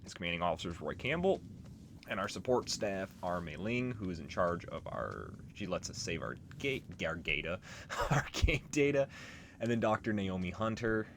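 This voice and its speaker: A man narrates his gameplay in a very low voice. His commentary is casual and explanatory, with clear noise and ambience behind him. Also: very animated, normal speed. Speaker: let's play host.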